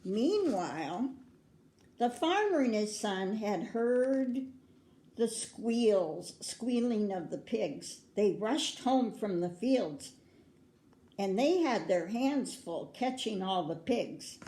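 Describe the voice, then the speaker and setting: An older woman with an American accent reads a picture book aloud to children in a grandmotherly way, pausing to put questions to her young listeners. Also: mid-range voice, big pitch swings, warm tone. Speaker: children's story reader. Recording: roomy, echoing indoor sound.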